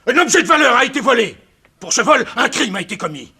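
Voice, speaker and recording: An actor playing a vindictive, domineering planter who harangues and accuses in a radio drama. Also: male voice, very high-pitched, big pitch swings, fast, gravelly, sharp tone, breathy edge, heavily acted. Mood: indignant, threatening. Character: vindictive male planter, a blustering lynch-mob leader. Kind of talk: 1960s French radio drama acting.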